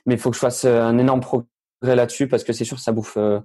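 Teenage male tennis player, mellow voice, halting speech full of fillers. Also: medium pitch, flat delivery. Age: teens. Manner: hesitant, many fillers and restarts. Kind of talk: casual interview answers.

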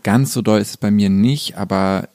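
A man with a fairly low, gravelly voice talks casually as an interview guest. He is reflective and halting, circling back as he looks for the right word. The sound is close and dry. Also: darkish tone, dry recording. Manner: reflective, halting, searching for words. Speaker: guest musician. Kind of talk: casual conversational interview talk.

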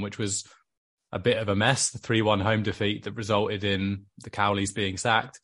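Man from Wales, lowish voice, casual football podcast chat, hedging with fillers. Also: a bit bright.

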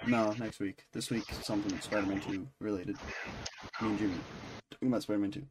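A man's voice: fairly low pitch, flat delivery, fast talker. Rambling man podcasting over background noise. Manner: rambling, thinking aloud. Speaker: podcast co-host. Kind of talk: casual pop-culture review chat.

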